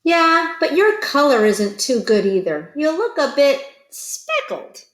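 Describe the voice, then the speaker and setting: A woman's voice: sits high, sing-song, takes it slowly, roomy sound, pitched above the performer's own voice, heavily acted. A woman voicing big, boastful storybook pumpkins with an exaggerated, teasing manner. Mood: taunting. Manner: taunting, exaggerated.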